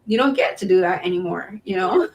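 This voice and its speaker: A woman's voice: fairly low pitch, fairly quick, poor audio. Woman hosting a podcast, chatty and upbeat, lots of likes and you knows. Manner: chatty, enthusiastic, filler-heavy. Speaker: podcast host. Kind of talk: casual interview.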